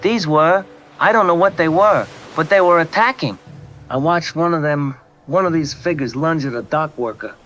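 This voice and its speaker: A man's voice: fairly high pitch, a bit bright, slight background noise, lightly acted. A man playing a shaken coast guard captain. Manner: hesitant, shaken, halting. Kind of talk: audio drama testimony.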